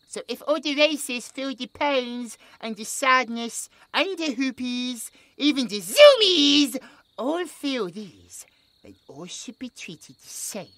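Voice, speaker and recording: A British man voicing a gentle, childlike possessed male creature who talks about himself in the third person with broken grammar. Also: very high-pitched, exaggerated voice. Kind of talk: horror audio roleplay.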